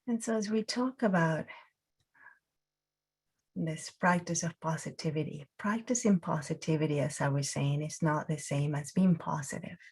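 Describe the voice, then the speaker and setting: A woman's voice: low voice, normal speed, darkish tone, breathy edge. Reflective woman teaching mindfulness. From Peru. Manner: reflective, many fillers and restarts. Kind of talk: guided mindfulness talk.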